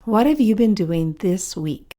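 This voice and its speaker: A woman asking simply phrased, measured interview questions for English learners. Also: mid-range voice, lively intonation, warm tone.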